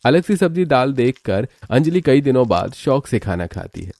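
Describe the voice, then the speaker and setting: A man's voice: mid-range voice, big pitch swings, normal speed, gravelly, a little mellow. A man narrating a scripted family cartoon story. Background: background music.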